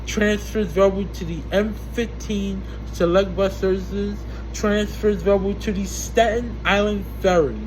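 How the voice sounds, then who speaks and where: Guy w a high voice doing subway stop announcements, slow n formulaic, loud hum behind him. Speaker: amateur transit announcer. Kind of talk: imitating subway train announcements, reciting station stops. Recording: loud steady background hum and noise.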